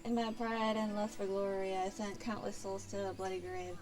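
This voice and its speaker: A woman's voice: mid-range voice, level tone, normal speed, natural voice. A woman voicing a tormented, remorseful male archer turned bard from a fantasy game, in formal, archaic storytelling. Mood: solemn, regretful. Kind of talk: reading fantasy game dialogue aloud. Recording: game music behind.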